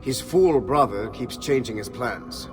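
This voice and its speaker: A man's voice: medium pitch, lively intonation, measured pace, over music, close to an everyday voice. An actor playing an arrogant, scornful Italian nobleman conspirator with an Italian accent in a video game. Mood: businesslike. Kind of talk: video game character acting. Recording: long echoing reverb of a hall.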